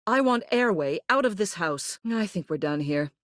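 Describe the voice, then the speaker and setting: A woman's voice: mid-range voice, animated, normal speed, fairly bright tone, dry recording, clean studio sound, natural voice. A woman voicing a video game character, a stern, haughty ceiling who governs a household, speaking in a formal, clipped, authoritative way. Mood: stern, commanding. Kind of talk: scripted game character dialogue.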